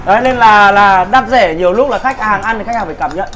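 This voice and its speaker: A man's voice: sits high, fast, a bit bright, soft background music. A man presenting his restaurant's dishes to friends in a casual food vlog, chatty and explaining as he goes. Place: busy restaurant kitchen, indoors. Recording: crowd chatter in a kitchen. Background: crowd talking.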